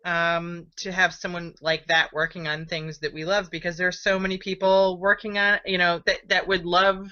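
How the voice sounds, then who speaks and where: A woman's voice: little pitch movement, moderate pace, hardly any pauses, sharp tone. A woman co-hosting a casual chat over a video call on a headset, rambling with restarts and laughing a lot. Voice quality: deep voice. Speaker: podcast co-host. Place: room with curtains. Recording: dull lo-fi video call audio.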